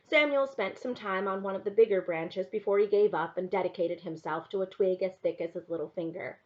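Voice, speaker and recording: A woman reading a first-person story aloud in an expressive narrating style. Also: mid-range voice, a little fast, highs cut off. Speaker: reader reading a novel aloud to a class.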